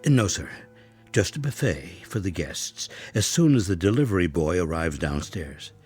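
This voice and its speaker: A deep-voiced, deferential manservant. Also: male voice, animated, a little mellow, soft background music. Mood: dutiful, hesitant. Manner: formal, meek, halting. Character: deferential, hesitant male household servant. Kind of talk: radio drama acting.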